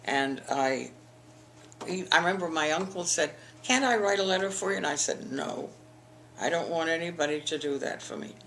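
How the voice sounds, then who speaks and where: A woman's voice: deep voice, lively intonation, moderate pace, vocal fry, a bit bright, a little hiss or hum. An older woman from the eastern United States, telling her school memories in an oral history interview, rambling with frequent restarts. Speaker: interviewee, alumna.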